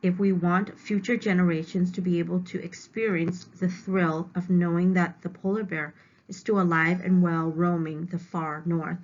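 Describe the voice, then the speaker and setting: A woman reading aloud as a teacher, stopping to explain words simply to young students. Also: fairly low pitch. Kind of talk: read-aloud lesson with simple word explanations. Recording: dull, lo-fi home recording.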